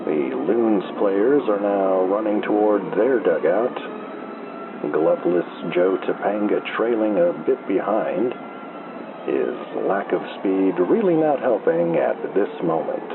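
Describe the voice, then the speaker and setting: Casting: male actor as deadpan small-town baseball radio caller, low and unhurried, lively melodic swings, heard through crackly narrow-band AM. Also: full character voice. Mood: deadpan calm. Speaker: baseball radio play-by-play announcer. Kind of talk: slow old-time baseball radio play-by-play. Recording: noisy, thin telephone-like AM radio sound.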